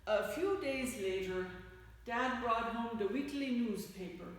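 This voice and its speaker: A woman reading a picture book aloud to children, with expressive, deliberate phrasing that stresses key words. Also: a little high. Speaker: children's story reader. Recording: echoey hall, distant mic, faint noise.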